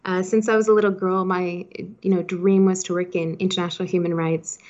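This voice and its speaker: A woman's voice: fairly low pitch, flat delivery, fairly quick, a little mellow. Woman in her thirties, chatty, muffled recording. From Switzerland-born, raised in Hong Kong. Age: 30s. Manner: chatty, peppered with "you know". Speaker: podcast guest, startup founder. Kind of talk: conversational interview answers.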